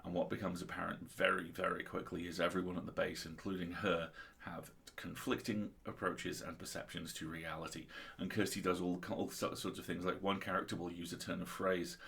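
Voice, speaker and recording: A man's voice: low-pitched, flat delivery, fairly quick, vocal fry, hardly any pauses, fairly bright tone. A man co-hosting a casual literary discussion, speaking in an enthusiastic, articulate and opinionated way. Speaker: co-host of a story reading livestream.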